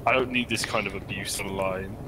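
A man's voice: on the low side, steady tone, brisk, a loud crowd. A man in game voice chat going on a mock-defensive rant with swearing. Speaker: player in voice chat. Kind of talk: casual voice chat.

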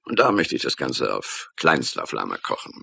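Cynical police detective, very deep, forceful edge, sardonic, curt, radio crime drama. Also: male voice, wildly swooping pitch, moderate pace, vocal fry, breathy edge, full character voice. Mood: curt, dismissive. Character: gruff, cynical hardline male police detective.

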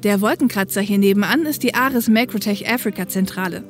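A woman's voice: mid-range voice, a little fast, lightly acted. A woman playing a young, matter-of-fact helicopter co-pilot and caring older sister in a German audio drama.

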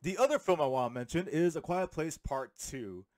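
A man in his thirties giving a rambling, animated, sweary film review in a casual comedic style. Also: fairly high pitch, moderate pace, dry recording, clean studio sound. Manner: animated, sweary, uses slang. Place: small room at home. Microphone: handheld microphone held close to the mouth.

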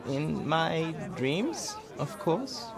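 An actor playing a florid, grandiose, playful bard in a video game, with pompous and dramatic delivery. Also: male voice, on the high side, sing-song, extremely slow, gravelly, full character voice. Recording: music and outdoor crowd behind.

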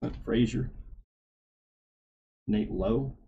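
Gravelly slow-talking man reading names. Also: dark tone, muffled recording, a little hiss or hum. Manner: reads names in a low running murmur. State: slightly hoarse. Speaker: card break host. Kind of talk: live card-breaking stream. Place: small card shop studio.

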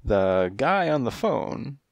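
A man's voice: mid-range voice, sing-song, slow pace, dry recording, lo-fi sound, voice pushed up. A man voicing a flirtatious, dainty female cat who gushes and speaks breathily, in a storybook reading. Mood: gushing. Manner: breathy, gushing, coy.

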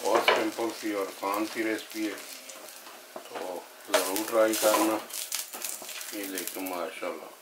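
A man's voice: lowish voice, steady tone, unhurried, long pauses, heavy background noise. A middle-aged man, explaining a recipe step by step in a casual, friendly way in his home kitchen. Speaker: home cook. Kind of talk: casual cooking vlog. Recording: echoey kitchen.

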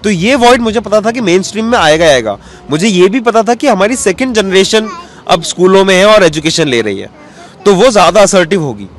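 A man's voice: high-pitched, animated, fairly quick. A man in his thirties, a cartoonist guest, answering interview questions at length and mixing in English terms, recorded outdoors on a handheld mic. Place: outdoors in an open courtyard. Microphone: handheld mic held out by interviewer. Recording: faint outdoor street noise.

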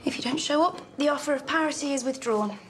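An actress playing a poised young gangster-family woman, cool, measured and dryly assertive. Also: high-pitched, natural voice. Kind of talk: period television drama dialogue.

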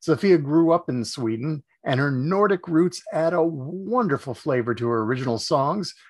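A man's voice: on the high side, lively intonation, normal speed. An older man in his sixties, hosting a show and reading an upbeat scripted introduction from a home office with bookshelves. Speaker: show host.

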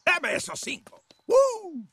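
An actor playing an upbeat, enthusiastic activity-center coach in a Spanish cartoon dub. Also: male voice, extremely high pitch, wildly swooping pitch, slow, sharp tone, exaggerated voice. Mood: welcoming. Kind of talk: Spanish-dubbed cartoon acting.

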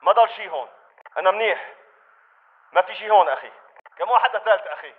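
A man's voice: sits high, fast talker, slightly rough, narrow, tinny audio. A man voicing an uneasy militant reporting to his comrades in a video game, terse, with a Levantine Arabic accent. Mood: matter-of-fact. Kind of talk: video game battle chatter.

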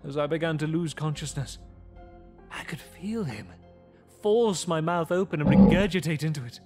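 Frightened young male survivor, played by a man, grave theatrical horror storytelling, somewhat high voice. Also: expressive, moderate pace, loud music behind the voice, close to an everyday voice. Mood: grave, haunted. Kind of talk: dramatic first-person gothic horror narration.

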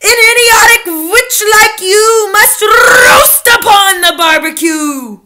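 A raging bossy witch for a kids book, super high and screamy, drags words out. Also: heavy background noise. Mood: menacing. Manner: screaming, imperious, drawn-out menacing delivery. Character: furious tyrannical female Grand High Witch.

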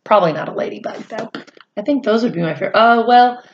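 A woman's voice: on the high side, dull audio, slight background noise. A middle-aged woman reading a picture book aloud to children expressively, with chatty asides. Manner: expressive, drawn-out delivery addressed to young children. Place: small indoor room at home.

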